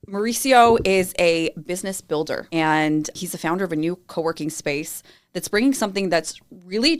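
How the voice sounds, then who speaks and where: A woman hosting an in-person podcast interview, upbeat and enthusiastic as she introduces her guest. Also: deep voice. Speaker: podcast host. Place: co-working space conference room.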